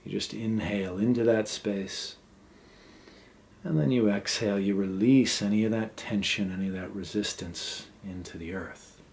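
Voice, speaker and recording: Low-voiced man guiding a meditation. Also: fairly even intonation, vocal fry, slight background noise. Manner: gentle, unhurried, pausing between short phrases. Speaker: meditation guide. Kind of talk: guided meditation, unscripted.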